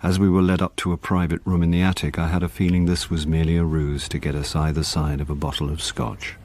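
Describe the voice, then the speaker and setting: An actor playing a wry, hard-up middle-aged British actor who talks and narrates drily in a radio drama. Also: male voice, deep voice, quick pace. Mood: wry, weary. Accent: British English. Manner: dry, deadpan, world-weary. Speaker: lead character and first-person narrator.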